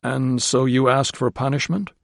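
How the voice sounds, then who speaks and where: A man voicing a calm, measured young male doctor who speaks quietly. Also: mid-range voice, gravelly, dry recording, clean studio sound, lightly acted.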